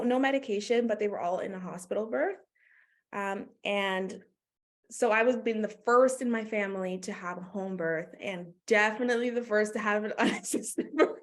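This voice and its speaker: A woman from Canada, talking casually as a guest in an interview, full of "like" fillers and quick laughs. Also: medium pitch. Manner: chatty, many "like" fillers, laughs often. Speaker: guest, doula.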